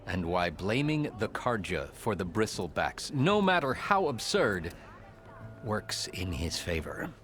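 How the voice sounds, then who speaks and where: Exasperated, articulate low-voiced official, animated delivery. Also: male voice, a little slow, faint music behind, exaggerated voice. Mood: dry, explanatory. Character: exasperated male official opposing a troublesome rival. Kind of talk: scripted video game dialogue.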